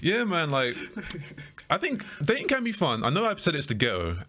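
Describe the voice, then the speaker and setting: Loud, fast-talking young man. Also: a little high, huge pitch swings. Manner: loud, joking, heavy slang and swearing. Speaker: podcast co-host. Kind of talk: casual chat.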